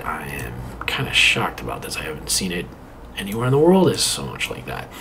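A man's voice: low voice, fairly bright tone, slight background noise. A man casually walking through maps on a screen share, rambling with many fillers and muttering to himself as he clicks. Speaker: video presenter.

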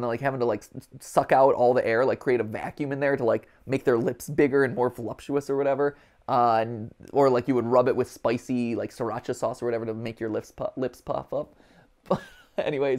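A man in his thirties with a mid-pitched voice hosts a game stream. He reacts casually and out loud, dropping jokey asides and exclamations as he goes. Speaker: livestream gaming host. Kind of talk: casual joking reaction commentary. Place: small furnished room, on a couch.

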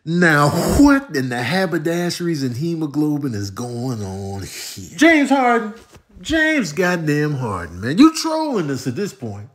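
A man with a husky voice giving slangy, exclamatory comedic commentary over clips in mock outrage. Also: a little high, huge pitch swings, measured pace.

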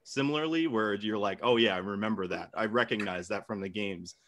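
A man's voice: lowish voice, fairly quick, fairly bright tone, a little hiss or hum. A man in an informal comedic debate, arguing bluntly with casual swearing. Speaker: debate contestant. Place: home room, indoors.

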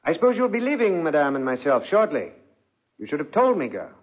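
An actor playing an imperious, suspicious husband with pompous mock courtesy, in 1940s radio style. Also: male voice, on the high side, big pitch swings, a little fast, slightly rough. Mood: smug, commanding. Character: imperious, suspicious husband, self-important lord of the house. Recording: dull, muffled 1940s radio recording.

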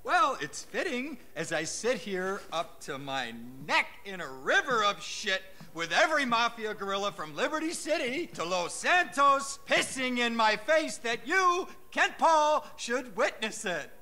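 An actor playing a panicky, self-pitying, foul-mouthed mob lawyer in a game, frantic, stammering and shrill. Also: male voice, sing-song, unhurried, hardly any pauses, pushed and bright, full character voice. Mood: bitter despair. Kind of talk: video game cutscene acting.

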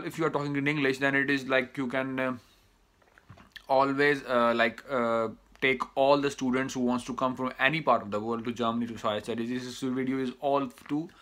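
A man's voice: medium pitch, bright, forceful tone. A man in his thirties with a Pakistani accent, a native Urdu speaker, giving advice to students in a casual talk to camera, hesitant, with many "uh" fillers and restarts. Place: small indoor room, plain wall.

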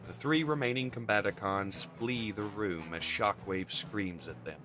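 A man's voice: on the low side, unhurried. A man narrating a comic book as a dramatic scripted reading. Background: music.

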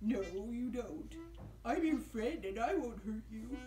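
A man voicing a gentle, friendly, easily flustered zombie in a children's puppet show. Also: very high-pitched, lively intonation, unhurried, echoey room, music in the background, pitched above the performer's own voice.

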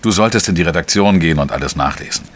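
An actor playing a world-weary, wry male investigative journalist, narrating a crime audio drama in a dry, measured way. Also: low-pitched, lively intonation. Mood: brisk, instructing. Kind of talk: scripted first-person crime drama narration. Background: music.

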